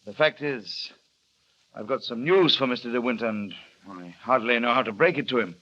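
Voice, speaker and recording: An actor playing a dutiful middle-aged harbour master in an old radio drama, tactful and hesitant. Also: male voice, medium pitch, normal speed, bright, forceful tone, muffled recording. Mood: grave.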